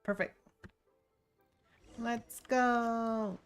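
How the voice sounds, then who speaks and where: A woman's voice: a little high, fairly even intonation, extremely slow, smooth. A woman streaming a game playthrough, giving casual, wry commentary in short asides. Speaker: VTuber streamer. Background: faint game music and dialogue.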